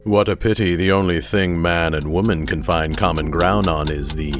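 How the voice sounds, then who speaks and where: An actor playing a sardonic, melodramatic narrator and pitchman of an old-time radio serial, arch and grandiose. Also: steady tone, normal speed, music in the background, lightly acted. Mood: wry, ominous. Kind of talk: 1930s radio announcing.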